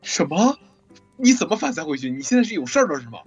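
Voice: mid-range voice, very animated, quick pace, muffled recording, over music. A host of a cast livestream chatting casually and teasing in a playful way. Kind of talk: casual hosting chat with teasing.

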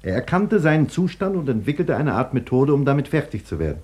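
An actor playing a sober male scientist and doctor who narrates his own story in a measured, grave, explanatory manner, 1950s radio drama style. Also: mid-range voice, big pitch swings, a little mellow, slightly rough.

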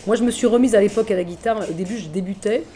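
A middle-aged woman musician chatting casually in an interview, relaxed and full of fillers. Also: mid-range voice, rapid-fire, slight background noise. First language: French. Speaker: musician guest.

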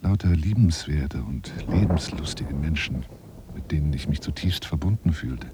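A man's voice: moderate pace, heavy background noise. An actor playing a lonely, boastful middle-aged bachelor adventurer wooing a woman by phone in a radio drama, with flowery, insinuating talk that drops into near-whispers. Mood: melancholy, confiding. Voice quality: deep, gravelly. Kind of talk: radio drama monologue, one side of a phone call.

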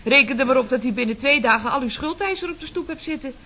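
An actress playing a cheeky, mock-innocent office secretary in a Dutch radio comedy, with sly, sugary phrasing. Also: female voice, sits high, lively intonation, quick pace, bright, forceful tone, husky, heavily acted. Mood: slyly teasing. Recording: dull, faintly noisy vintage radio recording.

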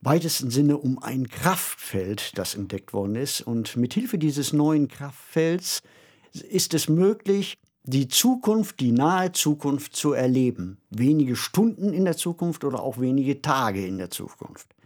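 A man's voice: mid-range voice, expressive, unhurried, darkish tone. A man co-hosting a podcast, speaking in a conversational discussion style. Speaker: podcast co-host. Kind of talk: conversational discussion of science fiction.

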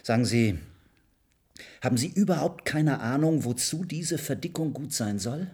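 Earnest, wary scientist for radio drama; husky, mid-pitched, cautioning. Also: male voice, expressive, normal speed, a little mellow. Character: cautious, earnest male scientist, restraining his colleague. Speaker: actor in a radio play. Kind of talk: German radio drama acting.

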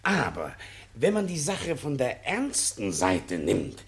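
A man's voice: medium pitch, huge pitch swings, slow, gravelly, heavily acted. A man playing a self-important, middle-aged family man and moraliser in a German radio play, pompous and patronising. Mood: earnest, pompous.

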